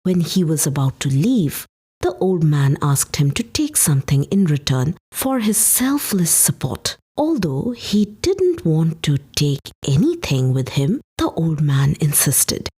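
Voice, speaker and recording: A woman narrating a folktale for a podcast, with expressive, dramatic and deliberate phrasing. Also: sits low, breathy edge. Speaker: podcast storyteller. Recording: music behind the voice. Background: background music.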